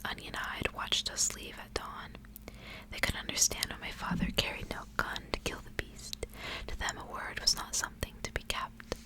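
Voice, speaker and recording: A woman softly whispering in an ASMR style, reading aloud in a gentle, measured way. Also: poor audio. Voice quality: soft unvoiced whisper.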